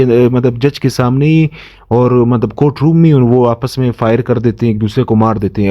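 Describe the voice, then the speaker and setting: A man with a Pakistani accent giving an informal law lesson, explaining in a halting, repetitive way. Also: medium pitch, mellow. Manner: halting, repetitive, slows markedly when reading English. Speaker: law lecturer.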